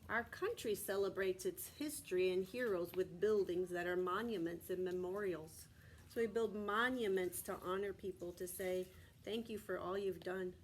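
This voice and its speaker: A woman's voice: lowish voice, normal speed, a little hiss or hum, low-quality recording. An American woman, a preschool teacher from Texas, reads aloud to young children in simple phrases, stopping to explain and ask questions. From Texas, United States.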